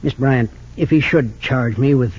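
Very raspy, grave old father. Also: mid-range voice, darkish tone. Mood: grave, troubled. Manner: halting, formal. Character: wealthy old father, grave, disillusioned with his sons. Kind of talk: 1940s-50s radio drama acting. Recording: dull lo-fi old radio recording.

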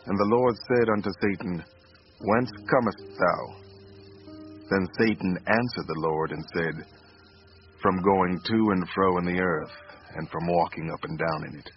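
An earnest father in a radio drama, subdued and full of regret. His low voice is sincere and plain. The audio is dull, with faint music behind it. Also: measured pace. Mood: solemn. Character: Martin, earnest, regretful small-town father.

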